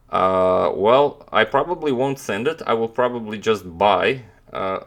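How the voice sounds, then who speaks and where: Young Croatian man, deep voice, halting casual streamer chat. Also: fairly bright tone. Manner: hesitant, many fillers and restarts. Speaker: chess streamer answering chat.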